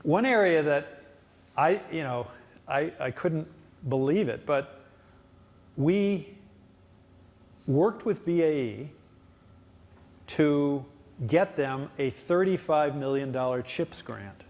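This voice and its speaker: A man's voice: a little high, animated, slow, long pauses, a little mellow. A man answering residents' questions at a public town hall meeting, explaining at length with frequent fillers and restarts. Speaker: city official at a ward town hall.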